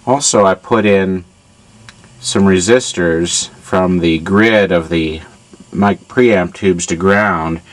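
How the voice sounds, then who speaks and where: A man giving an informal hands-on technical demonstration in a halting, matter-of-fact way, as a repair hobbyist. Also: deep voice. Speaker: amplifier repair hobbyist demonstrating his work.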